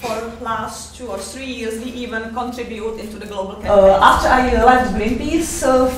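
A woman's voice: fairly high pitch, slight background noise. Czech lady giving a talk, kinda far from the mic, lots of uhs, roomy echo. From Czech Republic. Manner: hesitant, many fillers, halting non-native English. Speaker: fundraiser giving a conference talk. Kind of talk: presentation talk. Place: seminar room with projector. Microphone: far camera mic. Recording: echoey room, picked up from afar.

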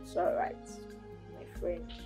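A woman's voice: a little slow, over music, natural voice. An actress with a Nigerian accent playing a protective, caring young woman, earnest and pleading in a film drama. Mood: gently firm.

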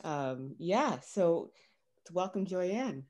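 A woman's voice: on the low side, expressive, very slow, a little mellow, a little hiss or hum. A woman, around her thirties, informally hosting an event as MC over a video call. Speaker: MC, creative writing teacher. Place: small room at home, indoors.

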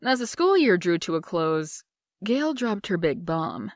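Woman doing a wry, wistful young narrator with a lively, animated pitch. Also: unhurried, no echo, clean studio sound, soft background music. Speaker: narrator of her own past. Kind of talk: comic dub narration.

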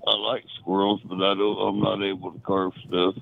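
A man's voice: sits low, level tone, moderate pace, gravelly, very noisy. A drowsy man chatting casually on the phone, answering plainly and tersely. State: drowsy, trying to sleep. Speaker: prank call recipient who makes wood crafts. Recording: muffled narrow phone line. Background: fan blowing.

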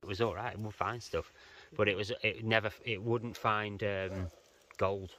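Middle-aged bloke chatting away outside, lowish voice, bit of background hiss. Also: male voice, steady tone, moderate pace, pushed and bright. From England. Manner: chatty storyteller, calls people mate. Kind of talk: casual chat. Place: outdoors by rocks.

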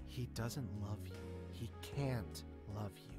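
An actor playing a tender, possessive male ghostly children's show host in a visual novel game, with a soft, coaxing, affectionate manner. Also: medium pitch, takes it slowly, natural voice. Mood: soothing, manipulative. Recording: music playing behind the voice.

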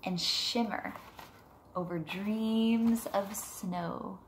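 A woman in her thirties, a teacher reading a picture book aloud gently and unhurriedly, drawing out words, at home. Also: medium pitch, steady tone, extremely slow. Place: living room at home, on a couch. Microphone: device camera a short distance away. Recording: noticeable room background noise.

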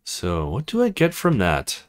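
A man's voice: on the low side, huge pitch swings, measured pace, pitched below the performer's own voice. A man voicing an awkward young male recruit in a visual novel, hesitant and grumbling to himself.

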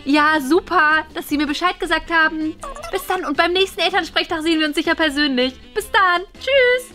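Woman playing a high-pitched, fussy mother. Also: moderate pace, sharp tone, faint music behind. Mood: flustered, polite. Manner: fretful, insistent. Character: fussy, determined mother helping her schoolboy son. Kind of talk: scripted family web drama.

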